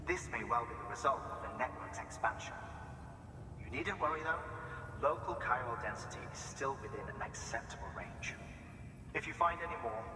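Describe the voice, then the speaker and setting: A formal, reassuring official in a video game, played by a man, with a medium-pitched voice. He speaks precisely and sits at a distance in a large, echoing hall. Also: close to an everyday voice. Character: measured, reassuring official delivering technical findings. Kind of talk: scripted game dialogue, informative. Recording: echoey hall, distant, noisy ambience.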